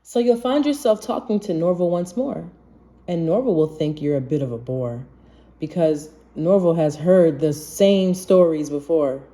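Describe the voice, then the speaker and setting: A woman reading a rhyming children's storybook aloud in an expressive, measured manner. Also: on the low side, slight background noise. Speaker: children's book reader.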